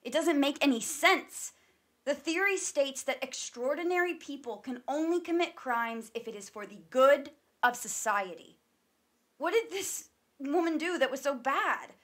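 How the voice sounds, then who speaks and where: An actress playing a troubled, wary sister in a stage drama, speaking in a halting, emotionally charged way. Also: female voice, sits high, animated, a bit bright. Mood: agitated, incredulous. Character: troubled adult sister of a killer, wary and defensive.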